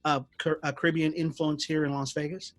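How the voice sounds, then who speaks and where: A man's voice: fairly high pitch, flat delivery, a little fast. A man of Jamaican family hosting a casual interview, friendly and full of restarts. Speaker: interview host.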